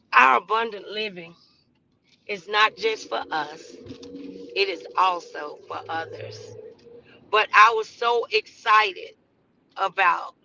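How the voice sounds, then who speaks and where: A woman hosting a motivational faith podcast, speaking off the cuff in an earnest, halting, preacherly way. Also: medium pitch, creaky, sharp tone, lo-fi sound. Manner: preacherly, earnest, halting delivery with repeated phrases. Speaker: host of a motivational talk podcast. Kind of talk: inspirational faith talk, loosely improvised.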